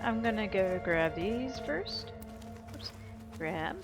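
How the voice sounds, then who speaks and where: Creaky-voiced woman doing casual gameplay commentary. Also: animated, slow pace, over music. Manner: low-key, thinking aloud. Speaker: gaming video host.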